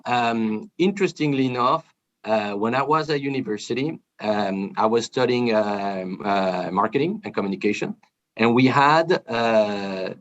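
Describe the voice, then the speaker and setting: A man from France with a French accent, a guest telling his story in a hesitant way with many "uh" fillers. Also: mid-range voice. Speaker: guest, brand manager. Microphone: video call. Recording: muffled video call audio.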